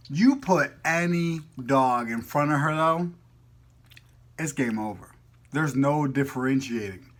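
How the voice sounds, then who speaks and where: A man's voice: mid-range voice, unhurried. A man chatting casually as a vlog host, playful and slangy, rambling as he goes. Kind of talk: casual chat to camera.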